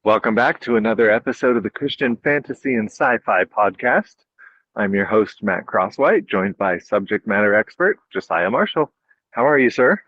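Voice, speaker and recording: A man's voice: fairly low pitch, animated, normal speed. Male podcast host, slightly dull audio. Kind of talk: casual chat interview.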